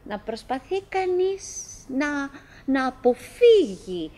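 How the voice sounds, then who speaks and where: Middle-aged wife, high voice, wistful forced cheer, fretful, halting musing, coaxing then murmuring, theatrical radio play. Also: female voice, very animated, warm tone. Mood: anxious, fretful. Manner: halting, musing, self-interrupting, swinging between coaxing and murmuring. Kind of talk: theatrical radio drama monologue.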